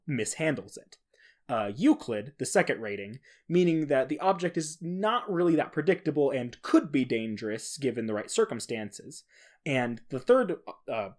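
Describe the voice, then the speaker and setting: A man hosting a podcast alone, explaining things in a casual, informal, conversational way. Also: medium pitch. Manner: informal, conversational, with occasional swearing. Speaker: podcast host. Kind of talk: casual solo explainer on horror fiction.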